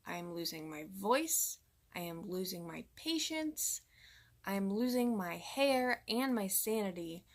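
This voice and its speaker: Brief: woman, 30s, mom vlogger, candid and exasperated, mid-pitched. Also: a bit bright. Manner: exasperated, candid venting to viewers. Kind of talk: direct-to-camera vlog monologue.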